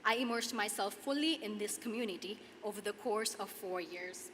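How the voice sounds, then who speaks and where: A woman in her twenties from Nepal, with a Nepali accent, delivering a prepared commencement speech in an earnest, deliberate manner with dramatic pauses. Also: medium pitch, a bit bright. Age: 20s. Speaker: student commencement speaker. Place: large hall at a podium, audience ambience. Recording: echoey hall PA, clear background noise.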